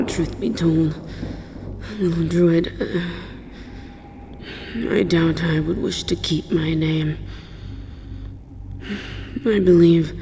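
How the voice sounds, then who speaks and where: A woman voicing an aloof, wounded, world-weary dragon in a measured, solemn, formal roleplay monologue. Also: deep voice, creaky, dark tone, slight background noise, heavily acted. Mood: cold, weary. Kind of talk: slow dramatic roleplay audio monologue.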